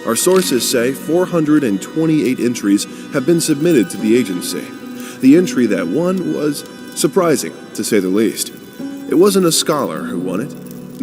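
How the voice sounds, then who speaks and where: Actor as disdainful announcer, game character, swooping emphatic delivery, reverberant, music behind. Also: male voice, mid-range voice, very animated, normal speed, natural voice. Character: haughty, disdainful male news announcer. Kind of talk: dramatic news report delivery. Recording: long reverb under clear music.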